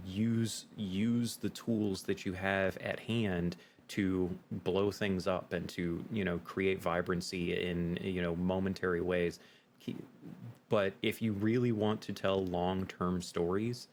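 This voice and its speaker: A man's voice: low-pitched, steady tone, takes it slowly, a little hiss or hum. A man being interviewed as a game master, explaining his points in an emphatic way and often checking with "right?". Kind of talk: interview conversation.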